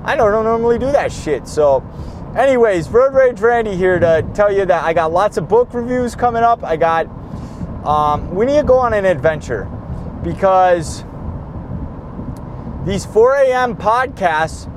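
A young man talks through a solo podcast from a moving car, high in pitch and worn out, his voice fraying. He rambles, restarts his sentences and swears casually. Road noise hums clearly underneath. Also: animated, unhurried, rough recording. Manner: tired, profane, rambling with many restarts. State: tired and losing his voice. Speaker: podcast host.

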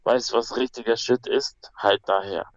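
A man's voice: medium pitch, steady tone, normal speed, no echo, lo-fi sound. A young man from Peru, a guest talking casually and bluntly, with slang and many restarts. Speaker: guest, MMA fighter. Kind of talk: casual conversation.

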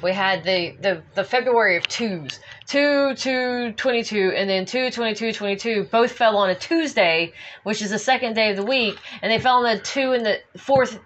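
A woman's voice: a little high, a bit bright. A woman chatting casually and informally as co-host of a show, with the odd swear word. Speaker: co-host of a comic book talk show. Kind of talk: casual chat. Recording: muffled home recording with background noise.

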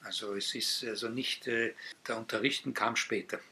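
An older man from Israel, a native Hebrew speaker who is retired and teaches Hebrew, talking in a relaxed, hesitant way as a guest in an interview. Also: on the low side, slightly rough. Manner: slow, thoughtful, with frequent hesitations. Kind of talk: casual interview talk.

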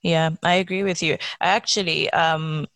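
A woman's voice: deep voice, steady tone, moderate pace, a bit bright. A woman hosting an interview, listening attentively and offering short affirmations before asking her questions. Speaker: host. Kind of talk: casual interview chat.

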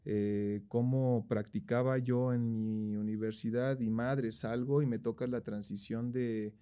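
Young mexican guy, super dark mellow voice, loose slangy chat. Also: mid-range voice, a little slow, clean tone. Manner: slangy, relaxed, rambling with restarts. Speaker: guest, behind-the-scenes camera operator. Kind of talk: casual interview chat.

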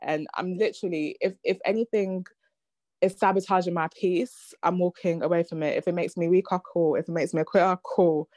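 A woman's voice: lowish voice, steady tone, fairly quick, a little mellow, no room sound. A woman, hosting a podcast and thinking aloud in a casual, reflective conversation. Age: 30s. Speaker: podcast host.

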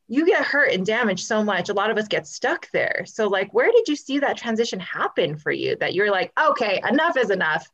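A woman hosting a podcast interview at a mid pitch, affirming her guest warmly in words and echoing back what she hears. She leans on frequent "like" fillers as she shapes her questions. The recording is slightly dull and lo-fi. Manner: affirming, reflective, many "like" fillers. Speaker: podcast host.

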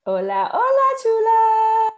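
A woman's voice: very high voice, wildly swooping pitch, takes it slowly, darkish tone, lo-fi sound. A woman co-hosting a podcast as a dietitian. She sounds congested, chats casually and laughs often. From Philadelphia area, USA. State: congested, stuffy voice.

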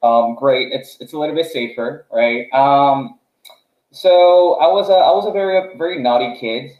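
A young startup founder, originally from South Korea, talks through his story in a casual podcast chat. He stumbles, repeats words and leans on fillers as he goes. The sound is a bit dull and carries the echo of a room. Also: mid-range voice. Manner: halting, many restarts and fillers.

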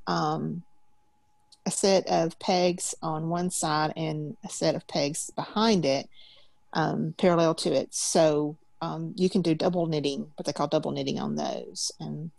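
Brief Gravelly-voiced woman teacher, forties. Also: deep voice, unhurried, a little mellow, no room sound. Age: 40s. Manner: patient, step-by-step instructing. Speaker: loom knitting teacher. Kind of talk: teaching an online class. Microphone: video call.

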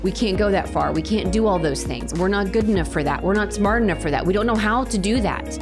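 A woman giving an earnest, reflective personal testimony about her faith, pausing and restarting as she speaks. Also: mid-range voice, music in the background. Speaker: interviewee sharing her faith story.

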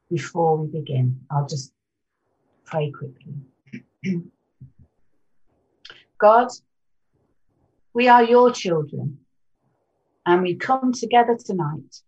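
Husky-voiced woman hosting a panel. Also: medium pitch, darkish tone, muffled recording. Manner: measured, welcoming presenter tone with throat-clearing. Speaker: host of an interfaith panel. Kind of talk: presenting and leading a short prayer.

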